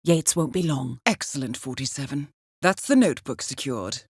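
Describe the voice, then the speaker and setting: An actress playing a composed, shrewd intelligence handler in a video game, giving mission briefings in a measured, precise and dryly wry way. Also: female voice, very deep voice, animated, husky, no room sound, clean studio sound, full character voice. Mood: urgent, then approving.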